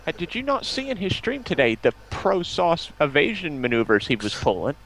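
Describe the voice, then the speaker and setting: A man's voice: a little high, wildly swooping pitch, moderate pace, creaky, a bit bright, a little hiss or hum, poor audio. A man appearing as a guest on a livestream, talking in casual banter. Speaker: stream guest.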